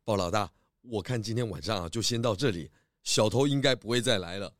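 A man playing a calm, polite pig detective who speaks in a measured, thoughtful way. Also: fairly low pitch, no echo, clean studio sound, lightly acted. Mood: apologetic, thoughtful.

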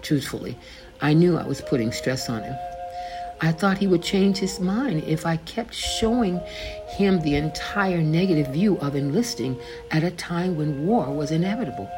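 An older woman reading her own faith memoir aloud, slowly and deliberately, pausing to gloss the names in scripture. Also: low voice, lively intonation, low-quality recording. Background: background music.

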